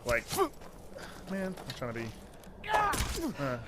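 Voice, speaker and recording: A man in his twenties giving casual, rambling commentary over gameplay, full of "like" fillers and jumping up in pitch when excited. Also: slow pace, gravelly. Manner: rambling, heavy use of "like" fillers. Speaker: let's play commentator. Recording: steady noise behind voice.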